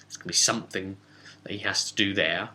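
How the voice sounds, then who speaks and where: A man's voice: deep voice, fairly even intonation, quick pace, bright, forceful tone. A man, chatty and rambling, casually retelling a tabletop role-playing session as its game master and slipping into dialogue. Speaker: game master and vidcast host.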